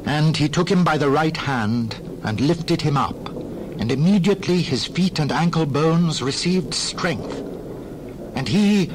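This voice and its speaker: A man narrating a dramatized Bible reading in a measured, formal storytelling manner. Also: on the high side. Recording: clear background noise.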